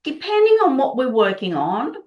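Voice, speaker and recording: A woman's voice: sits high, huge pitch swings, normal speed, a little mellow, highs cut off. A middle-aged woman from Prague, a native Czech speaker, explaining their work earnestly and enthusiastically as an interview guest. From Prague, Czech Republic. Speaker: Body Code practitioner, interview guest.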